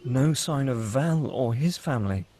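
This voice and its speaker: Husky man reading stage directions. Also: mid-range voice, measured pace. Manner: steady, matter-of-fact reading. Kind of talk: table read narration.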